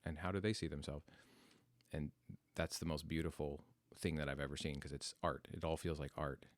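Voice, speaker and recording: Podcast host, male, 40s, American. Very deep voice recorded dry, thinking aloud through a personal story. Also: fairly even intonation, normal speed, no echo. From United States. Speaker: podcast host telling their life story. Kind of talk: reflective, unscripted personal storytelling.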